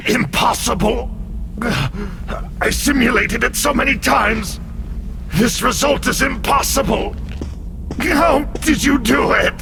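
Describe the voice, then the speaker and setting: An actor playing a frantic male villain in a game cutscene, shouting in furious disbelief with a strained, gravelly voice. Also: extremely high pitch, slow pace, heavily acted. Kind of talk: melodramatic game cutscene acting. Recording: very loud noise behind the voice.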